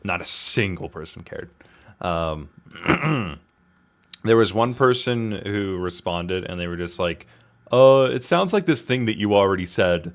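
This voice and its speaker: Deep-voiced guy gaming casually. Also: expressive, unhurried. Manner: self-deprecating, slangy, exclamatory. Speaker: gaming video host. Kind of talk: casual gameplay commentary, talking to himself.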